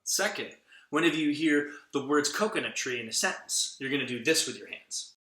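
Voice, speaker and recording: A man's voice: mid-range voice, lively intonation, fairly quick, pushed and bright. A man playfully and emphatically teaching a clapping activity to children, drawing out the words he demonstrates. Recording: echoey room.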